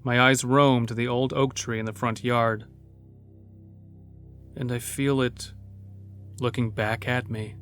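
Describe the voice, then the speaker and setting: A man playing a weary, brooding character who narrates his own story in an introspective, measured way. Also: medium pitch, flat delivery, a bit bright, soft background music. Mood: brooding, wistful. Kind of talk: first-person audio drama narration.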